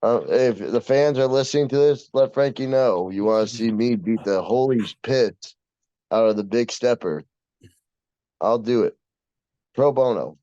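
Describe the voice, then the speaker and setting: A man's voice: mid-range voice, a little slow, mellow. A young American man chatting casually as a podcast co-host, with a brash, slangy, sweary way of talking. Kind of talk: casual chat. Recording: dull, roomy lo-fi sound.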